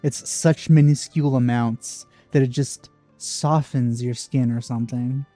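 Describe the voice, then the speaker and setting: A man's voice: medium pitch, steady tone, a little slow, warm tone, soft background music. A man giving casual let's play commentary as a gaming channel host.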